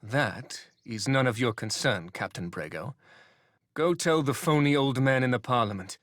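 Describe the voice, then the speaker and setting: A gloating dark lord played by a man for a video game, bent on vengeance. He speaks deliberately and theatrically at a middling pitch, with menace in every phrase. The sound is dry and close. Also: animated, no echo, natural voice. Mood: menacing, grandiose.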